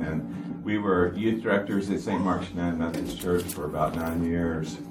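A man, giving an informal talk to a church congregation in a flat, even-toned delivery. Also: low-pitched. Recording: echoey hall from far off with noise.

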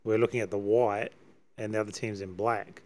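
A man, a senior pastor co-hosting a podcast, chatting in relaxed, brief and joking replies. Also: lowish voice, a little fast. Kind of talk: casual chat.

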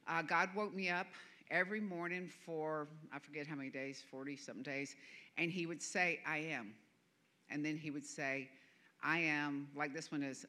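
Bright chatty Southern woman preacher. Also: low-pitched. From American South. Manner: chatty, self-deprecating, laughing often. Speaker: guest minister. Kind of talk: sermon. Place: church sanctuary.

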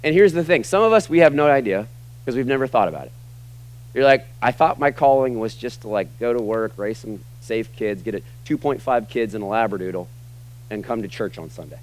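A middle-aged American man preaching a sermon to a church congregation, emphatic, moving between loud, punchy lines and quiet, low asides. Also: lively intonation, brisk, low-quality recording. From United States. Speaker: pastor. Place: church sanctuary.

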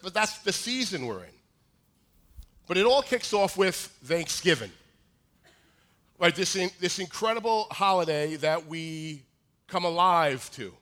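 A man in his forties with a high voice, preaching a sermon in a joking, conversational way with many restarts. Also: bright, forceful tone. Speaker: pastor. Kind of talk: sermon with humorous asides.

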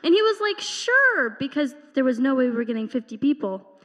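A teenage girl with a fairly high voice shares stories at a church service in a chatty, upbeat manner. Her voice carries a long reverberant hall tail. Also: sing-song, a little fast, fairly bright tone. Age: teens. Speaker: teen speaker at youth service. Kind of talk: church testimony talk. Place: church sanctuary.

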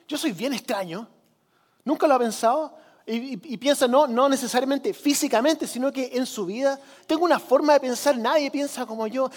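A man from Chile with a Chilean accent, giving a sermon as a preacher in a conversational manner, repeating phrases for emphasis. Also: very high voice. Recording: long hall reverb.

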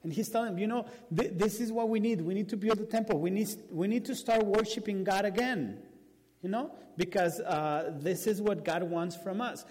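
A man with a Spanish accent, whose first language is Spanish, preaching a sermon and telling a story with animated restarts. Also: high-pitched, moderate pace. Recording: long hall reverb.

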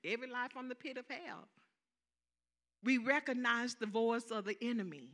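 A woman's voice: on the high side, normal speed, a bit bright. A woman with a Southern accent preaching a sermon, emphatic, repeating key phrases and calling out for amens.

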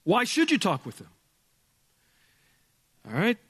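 A male pastor with a loud voice preaching a sermon in a church, emphatic, dropping from booming to hushed. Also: huge pitch swings, takes it slowly, slightly rough, big-room sound. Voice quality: loud speaking voice. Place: church sanctuary with sound board.

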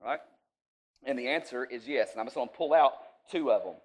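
A man's voice: medium pitch, fairly quick. A man preaching an informal sermon in a folksy, conversational way, checking in with his listeners often. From rural US.

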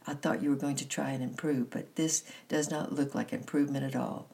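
A woman's voice: extremely low pitch, moderate pace, gravelly, a little mellow. A woman reader voicing a strict, cool aunt in a children's storybook, with a stern, authoritative manner. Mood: firm, angry.

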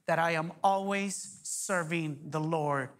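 A man in his forties preaching a sermon as pastor, energetic, joking and firing off rhetorical questions. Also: a little high, takes it slowly. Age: 40s.

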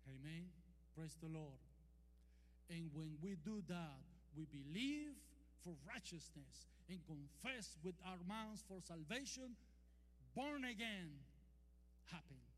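A man's voice: high voice, animated, a bit bright. A man from Costa Rica with a Costa Rican Spanish accent, preaching a sermon and calling for amens from the congregation. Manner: calls and responses, drawn-out emphatic scripture quotes. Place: church hall. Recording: long hall reverb, faint hum.

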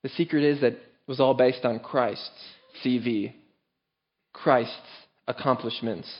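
An earnest male pastor preaching in a church, driving his points home with firm emphasis. His voice sits at a middle pitch for a man. The recording sounds a little dull and lo-fi. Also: fairly even intonation, unhurried. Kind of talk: sermon.

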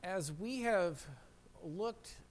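Hoarse preacher man with a cold. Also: sits high, very slow. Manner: clears throat often, steady preaching. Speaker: pastor. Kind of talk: sermon. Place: church sanctuary. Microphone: handheld mic.

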